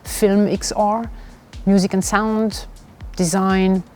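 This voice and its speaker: Mellow French-accented woman, earnest. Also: mid-range voice, little pitch movement, takes it slowly, soft background music. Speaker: agency founder and stage host. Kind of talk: interview.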